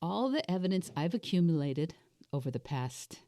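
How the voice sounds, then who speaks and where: A woman in her sixties with a raspy voice, slightly under the weather, coaching herself aloud on a solo podcast in a deliberate, reflective way. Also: lowish voice, big pitch swings, dark tone, no room sound, studio quality. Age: 60s. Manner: deliberate, reflective, asks and answers own questions. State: unwell with a cold-like illness. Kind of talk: self-coaching monologue, thinking aloud.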